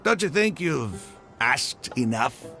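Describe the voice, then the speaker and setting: An actor voicing a proud, devout desert mercenary leader in a video game, talking with fervent zeal. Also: male voice, medium pitch, sing-song, slow, gravelly, full character voice. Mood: haggling. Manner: fervent, preaching. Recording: game audio with faint music.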